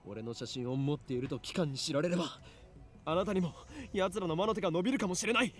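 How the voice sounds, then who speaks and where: A man's voice: on the high side, racing, faint music behind, close to an everyday voice. An actor playing a theatrical, paranoid young man, a self-styled mad scientist, in a visual novel, with a dramatic, suspicious manner. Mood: suspicious, grave. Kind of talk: Japanese visual novel voice acting.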